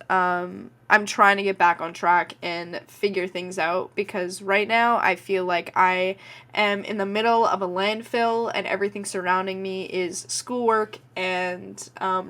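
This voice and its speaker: A woman's voice: mid-range voice, a bit bright. A nineteen-year-old woman from Canada with a Canadian accent, rambling casually to camera in her vlog and filling her talk with "like" and "um". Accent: Canadian English. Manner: chatty, frequent "like" and "um" fillers. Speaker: vlogger and podcast host.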